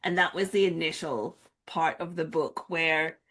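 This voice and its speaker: A woman with a slightly low voice chats as a podcast co-host. She is casual and joking, telling things as stories and quoting her own reactions. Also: unhurried. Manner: animated storytelling with self-quotes, joking. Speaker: book club podcast co-host. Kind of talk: casual chat.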